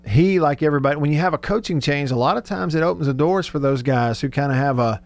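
Male sports talk host, pitch a bit above average, loose conversational delivery. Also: quick pace, soft background music.

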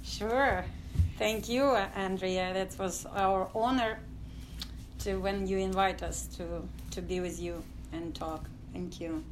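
A middle-aged woman from Ukraine with a Ukrainian accent, telling her story as an interview guest in halting English full of fillers. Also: medium pitch, fairly even intonation, noisy background. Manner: halting non-native English with many fillers. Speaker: guest, dance company founder.

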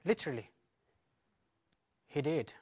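A man's voice: a little high, very animated, a little slow, darkish tone, breathy edge. A man pastor from Ethiopia with an Ethiopian accent, giving an emphatic personal testimony as a conference talk in non-native English.

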